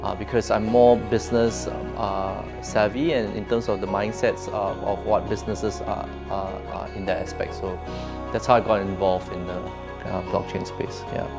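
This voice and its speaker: A middle-aged man with a Singaporean accent answering interview questions in a halting, rambling, conversational way. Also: lowish voice, little pitch movement, gravelly. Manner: halting, rambling, repeats phrases as he goes. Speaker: interview guest, crypto educator and entrepreneur. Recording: background music under speech.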